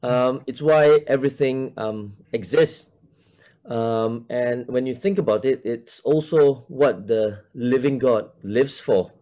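A man's voice: mid-range voice, slow, mellow. A man in his forties from Malaysia, a church minister talking casually in an interview, hesitant, with many fillers and restarts.

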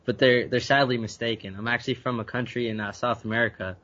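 A young man from Guyana speaks as a podcast guest at a middle pitch. He talks earnestly and often repeats a word while he gathers his thoughts. The recording has a slightly dull, lo-fi sound. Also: steady tone, fast, a bit bright. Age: young adult. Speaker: university student guest. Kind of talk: conversational interview answers.

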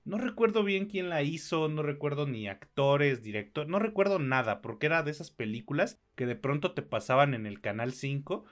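A man's voice: on the high side, expressive, moderate pace, hardly any pauses. A Mexican man co-hosting a podcast, reviewing films in casual, slangy talk with some swearing. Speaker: podcast co-host. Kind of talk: casual film review chat.

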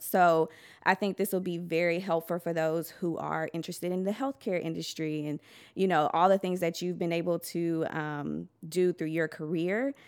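A woman podcast host with a slightly low voice. She chats casually and upbeat as she interviews, with an effusive manner and frequent laughter.

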